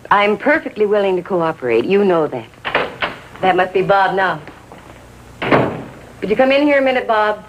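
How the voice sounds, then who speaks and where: A woman's voice: medium pitch, expressive, normal speed, long pauses, close to an everyday voice. An actress playing a doting, trusting mother in 1950s TV drama style, polite and earnest. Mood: polite, obliging. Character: doting, trusting mother of a teenage gang leader. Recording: dull hissy 1950s TV soundtrack.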